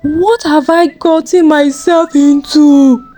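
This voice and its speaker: A woman's voice: sits high, fairly even intonation, slow, mellow, faint music behind, voice pushed up. A woman voicing an eager, naive young man in a folktale, exclaiming and pleading. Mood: shocked, afraid.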